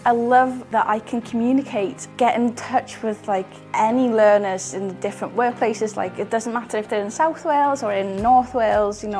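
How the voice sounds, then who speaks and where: A woman's voice: medium pitch, darkish tone. A woman who works as a digital skills trainer, talking casually about her work in a recorded profile interview, using filler likes.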